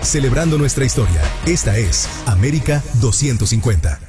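Guy narrating a history spot, lively earnest delivery, music behind. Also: medium pitch, normal speed.

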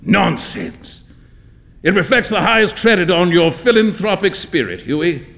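A man voicing a jovial, teasing young English gentleman in a theatrical story reading. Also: high voice, moderate pace, creaky. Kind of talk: dramatic literary story reading.

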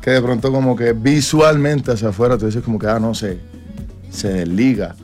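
A man's voice: medium pitch, moderate pace, loud music behind the voice. A Colombian man in his twenties, a singer talking casually in an interview, rambling with slang and restarts. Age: 20s.

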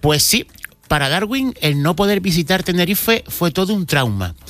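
A man's voice: high voice, sing-song, normal speed, fairly bright tone, dry recording, faint music behind. A man, a cultural commentator telling a local history story on a radio segment, with frequent restarts.

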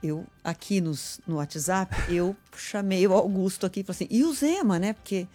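A woman's voice: mid-range voice, moderate pace, soft background music. A woman from Minas Gerais, Brazil, co-hosting a live news radio show, chatting and joking between reports. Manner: chatty, joking, animated asides. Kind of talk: casual live news chat.

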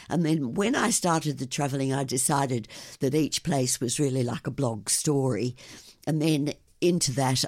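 An older woman, a guest on a radio interview, telling stories about her travels and her blog with warm enthusiasm. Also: very deep voice, no echo, clean studio sound. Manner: enthusiastic, animated storyteller. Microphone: studio microphone.

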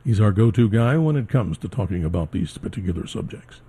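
A man's voice: lowish voice, lively intonation, a little fast, mellow, slightly rough. A man in his seventies hosting a radio call-in show, introducing guests and callers. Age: 70s. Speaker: radio talk show host.

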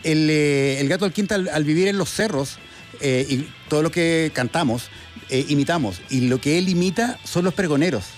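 Chilean man, higher voice, halting music commentary. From Viña del Mar, Chile. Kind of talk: casual opinionated music discussion.

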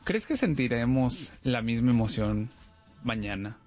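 A man in casual chat, playful and jokey, quick to laugh. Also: mid-range voice, lively intonation, darkish tone, highs cut off, soft background music.

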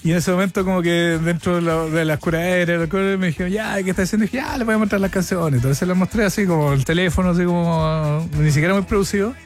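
A middle-aged Chilean man talks quickly and eagerly as a musician guest, acting out what people said. His voice sits a bit high for a man, and soft music plays behind him. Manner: animated storytelling, acts out dialogue. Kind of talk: casual interview chat.

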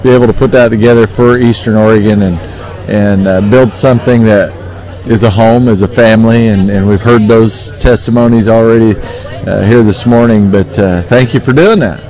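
Folksy male host, halting delivery. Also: fairly low pitch, fairly even intonation, a little mellow, low-quality recording. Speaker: radio show host and interviewer. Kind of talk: casual live broadcast interview.

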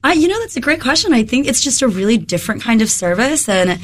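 A woman interview guest, a little hoarse from a head cold, explaining things conversationally. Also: fairly high pitch, big pitch swings, quick pace. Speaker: interview guest, wellness business owner.

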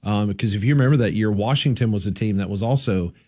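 A man hosting sports talk radio in an easygoing, folksy way. His voice sits a little low, and he moves from game talk into friendly sponsor reads. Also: fast talker, darkish tone, breathy edge. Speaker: radio host.

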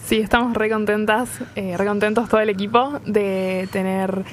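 A woman's voice: medium pitch, steady tone, moderate pace, slight background noise. Friendly woman explaining. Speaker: theater company member, guest. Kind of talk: casual interview chat.

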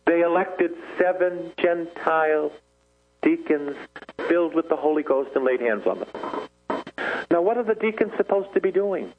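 A man with a somewhat high voice preaches in a charismatic style. He is emphatic and leans on repeated phrases. The sound is narrow and phone-like, with some background noise. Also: normal speed. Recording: thin phone-like band, loud noise.

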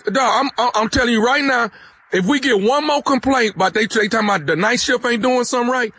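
A man's voice: very high-pitched, fast, bright, forceful tone, slightly rough, heavily acted. A man playing a pushy, aggrieved night-shift worker on a prank phone call, stammering and repeating himself confrontationally.

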